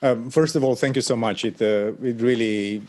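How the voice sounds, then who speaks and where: A man, an alliance official, giving a measured, thoughtful answer with frequent pauses over a video call. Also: medium pitch, fairly even intonation, darkish tone. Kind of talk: panel conversation answer. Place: remote location for an online panel.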